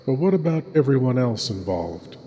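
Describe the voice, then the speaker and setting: A man playing a sinister, theatrical horror host, with menacing, deliberate scripted delivery. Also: medium pitch, very animated, warm tone, slight background noise, lightly acted. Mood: ominous, gloating. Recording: long cavernous reverb over music.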